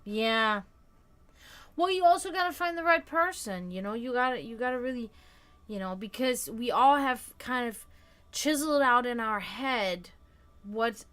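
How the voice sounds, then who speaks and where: A woman in her thirties hosting a casual live stream, talking frankly and reflectively and often letting her sentences trail off quietly. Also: a little high, animated, a little slow, smooth. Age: 30s. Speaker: stream host.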